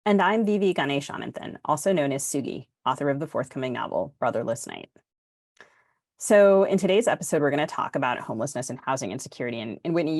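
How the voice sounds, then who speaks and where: A woman's voice: a bass voice, no echo, studio quality. A woman with an American accent, hosting a podcast with introductions and discussion. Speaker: podcast host. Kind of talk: podcast interview and scripted introduction.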